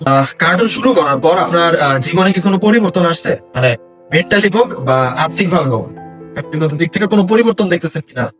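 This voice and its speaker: A man's voice: fairly high pitch, animated, brisk, fairly bright tone, faint music behind. A man hosting a casual interview, putting questions conversationally to his guest. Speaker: interviewer, host.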